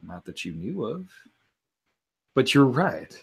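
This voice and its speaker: A man improvises as a player in a mystery role-playing game. His husky voice swings widely in pitch, and he takes his time, leaning into the drama as he moves between character and narration. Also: takes it slowly. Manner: animated, dramatic delivery.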